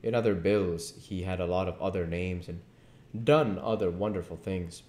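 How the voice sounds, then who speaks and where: A man's voice: low voice, normal speed, a little mellow. A man in his thirties reading a novel aloud as narrator, recorded at home in an echoey living room. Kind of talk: audiobook-style story reading.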